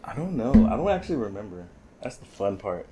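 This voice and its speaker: A man's voice: on the low side, sing-song, brisk, a loud crowd. A young adult man co-hosting a podcast, talking in a laid-back, rambling way with dry humor. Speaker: podcast co-host. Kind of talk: casual chat.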